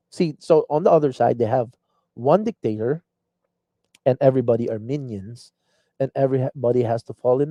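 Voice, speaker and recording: A man's voice: mid-range voice, mellow, no room sound. A man hosting a live online show, delivering an earnest, rambling political commentary monologue full of restarts. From Philippines.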